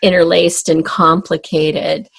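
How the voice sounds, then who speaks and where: A woman's voice: sits low, little pitch movement, slow pace, gravelly, a crowd in the background. A woman speaking casually and explaining her work as an interview guest. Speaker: interview guest, audio drama writer.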